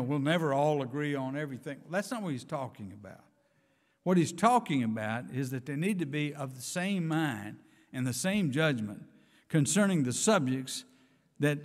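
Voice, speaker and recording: Older male preacher, higher voice, at a pulpit mic, church reverb. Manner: earnest, emphatic preaching with scripture readings. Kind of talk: sermon. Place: church sanctuary, speaking from a pulpit. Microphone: pulpit microphone.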